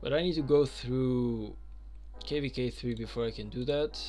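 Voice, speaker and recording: A man's voice: medium pitch, moderate pace, dark tone, soft background music. A young man from Albania, chatting casually on a game stream and answering viewer questions with lots of fillers. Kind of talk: casual live-stream chat.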